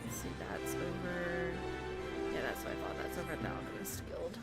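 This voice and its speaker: A woman's voice: on the low side, normal speed, loud music behind the voice. A woman streaming a game playthrough, reading dialogue aloud and tossing in playful comments. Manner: playful side comments between read lines.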